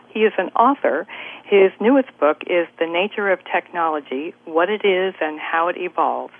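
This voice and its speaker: A woman hosting a radio interview show, chatty and effusive in her questions. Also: lowish voice. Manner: effusive, chatty, many "you know"s. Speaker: host. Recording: tinny phone-quality broadcast.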